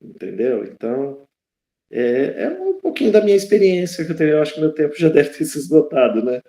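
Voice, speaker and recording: Brazilian guy, teacher chatting on a panel, soft dark tone, kinda halting, room echo. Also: a little high, big pitch swings. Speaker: history teacher, panel speaker. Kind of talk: informal panel talk. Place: indoors, speaking in an online live stream. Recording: roomy, echoey sound.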